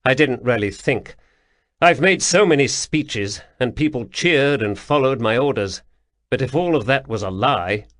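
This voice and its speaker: An imperious young prince, voiced by a man, commanding and clipped, with an animated swing in his delivery. The sound is dry and close. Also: medium pitch, measured pace, dry recording. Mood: shaken.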